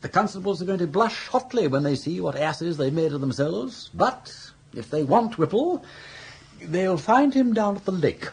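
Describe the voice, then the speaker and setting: An actor playing a suave older English gentleman schemer with an upper-class accent and jaunty, ornate phrasing in a radio comedy. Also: high-pitched, expressive, normal speed, husky, full character voice. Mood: breezy, unflappable. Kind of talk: radio comedy drama acting.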